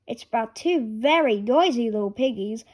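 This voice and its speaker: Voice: mid-range voice, expressive, moderate pace. A person reading a children's storybook aloud, word by word. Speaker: reader of a children's picture book.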